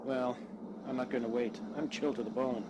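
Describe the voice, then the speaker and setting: A man's voice: mid-range voice, fairly even intonation, brisk, lightly acted. A man playing a casual, polite young husband, a stranded traveler in an audio drama. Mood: wry, casual. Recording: faint background noise.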